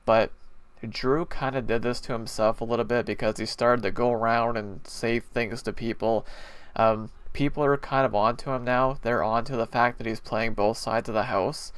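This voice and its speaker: A man giving a casual, rambling spoken review of a TV episode, chatty with frequent pauses and fillers, his nose a little stuffy. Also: medium pitch, clear, resonant tone, dry recording. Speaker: TV show reviewer.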